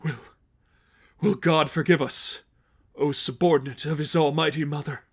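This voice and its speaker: A man's voice: fairly high pitch, steady tone, normal speed, breathy edge. A man voicing a fearful, awestruck male cult follower in a dramatic story reading, stammering and reverent. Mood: shaken doubt. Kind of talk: dramatic audiobook-style fan fiction reading.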